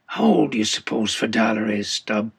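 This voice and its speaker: A man voicing a sceptical, needling sailor in an audiobook, husky and gravelly, with blunt, probing speech. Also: on the low side, very animated, normal speed, a bit bright, full character voice. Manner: blunt, probing, colloquial questions. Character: sceptical, needling male whaling mate quizzing his shipmate.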